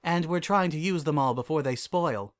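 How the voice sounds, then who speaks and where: Storybook pony princess man performing: female mentor, lifted pitch, calm, regal, kindly, unhurried authority. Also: lightly acted. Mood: gracious. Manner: gentle, measured, regal. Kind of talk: storybook character dialogue.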